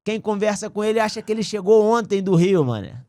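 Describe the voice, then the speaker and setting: A man, a podcast guest telling his life story in a relaxed, slangy, earnest way. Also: sits high, fairly quick, no room sound. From Rio de Janeiro, raised in Brasília. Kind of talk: casual conversational storytelling.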